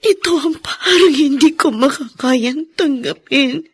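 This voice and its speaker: A woman playing an anguished, tearful young unwed mother in a Filipino radio melodrama, pleading haltingly for her sick child. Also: sits high, no room sound. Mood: sobbing, pleading.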